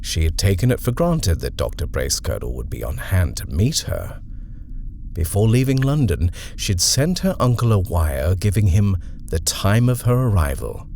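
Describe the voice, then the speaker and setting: A man narrating a horror short story in a measured, expressive reading. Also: deep voice, gravelly, a little hiss or hum.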